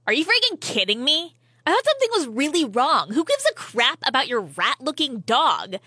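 Woman acting an animated, indignant, scolding friend. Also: on the high side, a little slow, breathy edge, no room sound, clean studio sound, heavily acted. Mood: exasperated, scolding. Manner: sarcastic, indignant, emphatic. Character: exasperated, scolding woman friend on social media.

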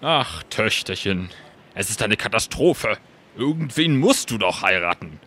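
A man's voice: on the low side, sing-song, unhurried, sharp tone, heavily acted. An actor playing a blustering, exasperated middle-aged king and father in a comic radio play.

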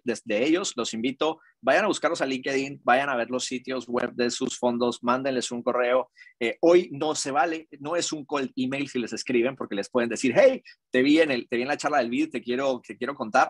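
Slightly husky man moderating, dry sound. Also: medium pitch, a bit bright, no room sound. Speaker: panel moderator. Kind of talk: casual hosting of an online panel. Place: indoors on a video call. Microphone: headset on a video call.

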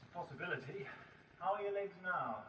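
A man's voice: high-pitched, expressive, fast, pushed and bright, breathy edge, heavily acted. A man playing an eccentric, curious time traveller in an audio drama, musing in a hesitant, donnish way. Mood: calm, musing. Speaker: actor in a fan audio drama. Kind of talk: science fiction audio drama acting. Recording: lo-fi 1980s amateur audio, hissy, sometimes echoey.

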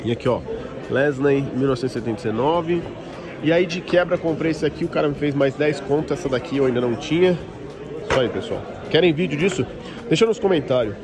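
A man's voice: a little high, brisk. Brazilian man vlogging amid crowd noise. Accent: Brazilian Portuguese. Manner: informal, slangy, chatty. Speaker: toy collector and vlogger. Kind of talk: casual walk-around vlog commentary. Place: busy toy convention, recorded on the move. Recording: busy crowd noise of a convention. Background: crowd chatter.

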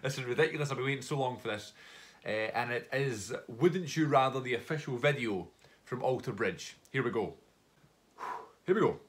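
A man's voice: mid-range voice, expressive, moderate pace, a little hiss or hum. A young man, stuffy-nosed from hay fever, gushing and rambling as he reacts to a new song at home. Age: young adult. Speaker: music reaction YouTuber. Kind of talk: casual reaction vlog. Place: living room at home.

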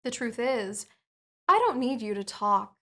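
A woman voicing a calm, manipulative female hypnotist who lulls a captured spy with soothing, repetitive words in an ASMR roleplay. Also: a little high, a little slow, heavily acted.

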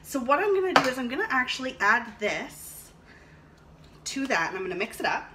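Lady rambling through a cooking demo in her kitchen, thinking out loud, dishes clanking and clicking behind her. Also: high voice, sing-song, brisk. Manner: rambling, chatty, thinking aloud. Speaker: vlog host cooking and reviewing a product. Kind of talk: casual chat while demonstrating cooking. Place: home kitchen, indoors. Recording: clattering dishes and clicks, noisy room.

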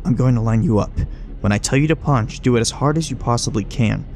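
A man's voice: mid-range voice, fast talker, darkish tone, faint music behind, natural voice. A man playing a wry, teasing creature that passes as a human mentor in a horror audio drama. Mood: coaching. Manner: wry, breezy, teasing, coaching. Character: flippant, chummy man who is secretly a swarm of bugs.

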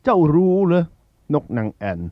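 Animated male eagle leader, acted by a man, commanding but caring, for a children's storybook; dry, slightly dull recording. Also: on the high side, moderate pace, no echo. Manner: commanding, addressing a gathering. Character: male eagle ruler of the birds, authoritative yet caring.

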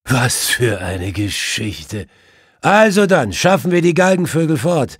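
A man doing a gruff, growling police inspector. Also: medium pitch, sing-song, no room sound, clean studio sound, voice pushed up. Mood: gloating. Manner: growling, brisk.

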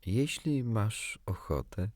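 A prim little storybook girl, voiced by a man, proper and a bit haughty but kindly. The intonation is highly animated and the sound is dry. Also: slow, dry recording. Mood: caring, curious. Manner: proper, indignant, caring.

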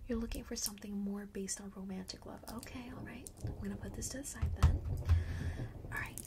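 A soft, breathy whisper comes from right at the microphone, with clear noise behind it. It is a friendly young witch running a perfume shop, acted by a woman for an ASMR roleplay. She coaxes and reassures gently, in casual, slangy phrasing. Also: mid-range voice, steady tone, brisk, lightly acted. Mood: encouraging, friendly. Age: young adult. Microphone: close large studio microphone.

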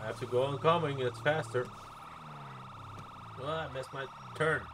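A man's voice: medium pitch, moderate pace. A man chatting in character on a live game stream as a medic, casual and foul-mouthed, grumbling as he goes. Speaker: streamer role-playing as an emergency medic. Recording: noisy game audio with sirens behind. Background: emergency sirens and game sounds.

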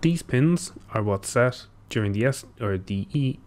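A young man giving a technical walkthrough, steady and explanatory with occasional ums and self-corrections, recorded at a desk in a small room. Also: mid-range voice, unhurried. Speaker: engineer presenting a tutorial. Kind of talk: technical walkthrough over screen share.

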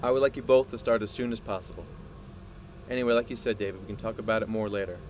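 An actor playing a proud, obsessive male scientist in an audio drama, speaking in a deliberate, grandiose way. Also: on the low side, steady tone, slight background noise, natural voice. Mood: measured, earnest. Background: music.

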